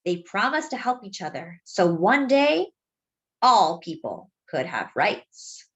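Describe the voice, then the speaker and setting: A woman reads a picture book aloud expressively, explaining things simply and deliberately as if to young children. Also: medium pitch, sing-song. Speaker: children's storybook reader.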